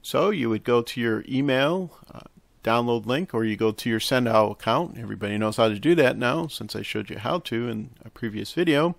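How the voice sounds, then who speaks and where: A man narrating a casual software tutorial, explaining step by step in an instructional way with hesitant pauses. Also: medium pitch, vocal fry, no echo. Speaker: tutorial host. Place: close, dry indoor voice-over for a screen recording.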